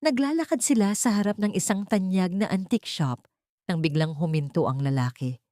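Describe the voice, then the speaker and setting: A woman's voice: mid-range voice, a little fast, dark tone, dry recording, studio quality. A woman reading a scripted dramatic story as an audiobook narrator.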